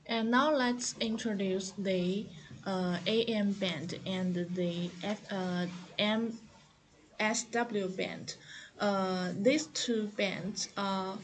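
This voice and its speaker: A woman giving an unscripted product tutorial, explaining step by step in a halting, hesitant manner. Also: medium pitch, fairly even intonation. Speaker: product demonstrator.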